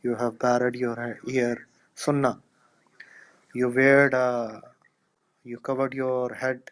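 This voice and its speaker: Man with flat, halting simple English. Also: mid-range voice, rough recording. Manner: halting simple non-native English, repeats phrases. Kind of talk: casual online chat.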